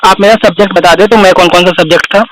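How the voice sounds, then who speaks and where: Young male student on a recorded call, high voice, dry sound, deferential yet pushy. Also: fairly even intonation, rapid-fire, fairly bright tone, dry recording, rough recording. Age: young adult. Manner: polite but insistent, says sir constantly. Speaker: student answering a phone call. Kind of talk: phone conversation.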